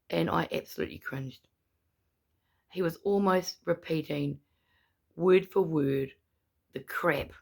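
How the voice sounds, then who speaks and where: Brief: gravelly, husky-voiced speaker with a New Zealand accent, gummy without teeth, indignant protester monologue. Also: medium pitch. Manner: indignant, repetitive, emphatic. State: gummy speech, no dentures in. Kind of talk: angry ranting monologue.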